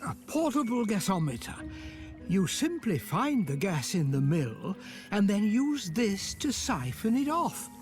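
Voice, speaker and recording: A fussy old inventor. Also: male voice, sits high, very animated, unhurried, soft background music. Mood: explaining. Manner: explains patiently, slightly condescending. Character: fussy older male inventor, lecturing. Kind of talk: game voice acting.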